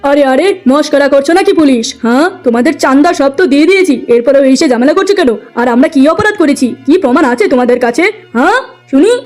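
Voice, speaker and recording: A woman acts a greedy, domineering landlord in a village cartoon. The voice sits very high with a dark, rounded tone, and it mocks and threatens people. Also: lively intonation, a little fast, faint music behind. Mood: indignant. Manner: mocking, threatening. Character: bullying, greedy middle-aged male village landlord. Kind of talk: cartoon moral story acting.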